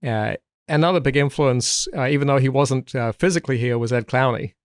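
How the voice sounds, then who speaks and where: A man's voice: medium pitch, fairly quick, no echo, studio quality. A middle-aged man with a mixed South London and American accent, speaking thoughtfully as a podcast guest, hesitant, with fillers and restarts. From England. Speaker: guest, Old Testament scholar. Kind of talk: relaxed podcast conversation.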